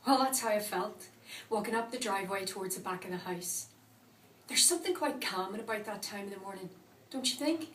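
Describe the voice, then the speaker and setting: A woman playing a vengeful, traumatised woman in a confessional monologue, speaking deliberately with dramatic pauses. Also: mid-range voice, a bit bright, a little hiss or hum, natural voice. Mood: tense, controlled. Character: vengeful, traumatised woman recounting a violent night. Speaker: actor performing a monologue. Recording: echoey room, distant microphone.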